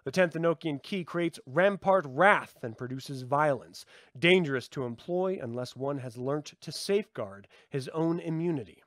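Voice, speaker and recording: A man reading a text aloud like a solemn, dramatic incantation, declaiming theatrically with deliberate weight. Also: a little high, dry recording. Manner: theatrical, declaiming, swelling from subdued to shouted. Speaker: reader of a book aloud.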